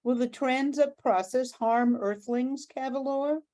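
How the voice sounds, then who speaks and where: Woman as a mellow, formal alien leader. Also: high-pitched. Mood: courteous. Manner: formal, measured. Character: courteous, formal alien leader from another planet. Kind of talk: amateur radio play acting.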